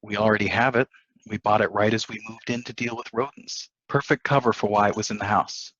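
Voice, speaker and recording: A man's voice: lowish voice, fairly even intonation, brisk, fairly bright tone. A man playing a coldly calculating newlywed husband in a table reading of a stage play, in dull audio. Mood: persuasive, sly.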